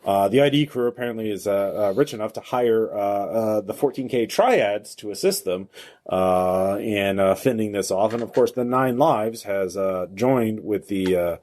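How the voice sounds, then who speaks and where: Man, game master, low pitch, dark relaxed tone, halting unscripted narration. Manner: halting, frequent hesitations.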